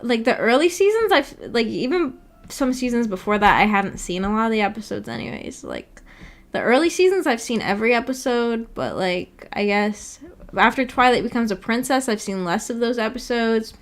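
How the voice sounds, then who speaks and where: A woman's voice: a little high, very animated, normal speed. A young adult woman chatting casually on a livestream, rambling informally and reading viewer comments aloud as she goes. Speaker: VTuber livestreamer.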